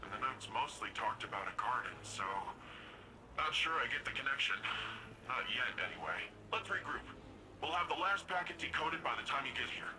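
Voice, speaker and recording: An actor playing a brash, upbeat young hero in a video game, with casual, slangy, animated delivery. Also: male voice, medium pitch, moderate pace, noisy background, close to an everyday voice. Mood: casual, curious.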